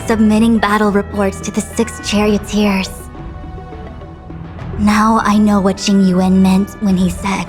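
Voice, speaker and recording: A woman playing a dignified, burdened acting general and diviner in a game, speaking formally and with measured eloquence. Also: mid-range voice, natural voice. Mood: weary, solemn. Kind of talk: formal scripted game dialogue. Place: studio recording with music behind.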